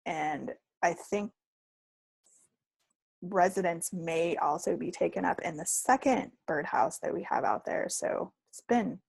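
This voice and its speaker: A woman's voice: lowish voice, unhurried, creaky, husky, dry recording, poor audio. A woman co-hosting a podcast in casual chat, talking in a rambling, jokey way. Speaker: podcast co-host.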